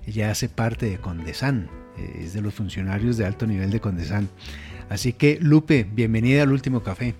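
A male radio host with a fairly low voice welcomes listeners and poses long, earnest, speech-like questions. Soft music plays faintly underneath. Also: normal speed. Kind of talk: radio interview hosting.

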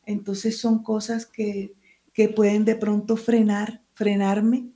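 Woman writer, mellow tone, hesitant, reads her own prose aloud. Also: mid-range voice, level tone, takes it slowly. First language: Spanish. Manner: hesitant, searching for words. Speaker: writer and teacher. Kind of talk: interview answers and reading from her book.

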